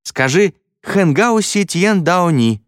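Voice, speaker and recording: A man voicing eager schoolchildren exclaiming and shouting demands in an audiobook. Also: on the high side, sing-song, moderate pace, dry recording, clean studio sound, voice pushed up. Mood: excited.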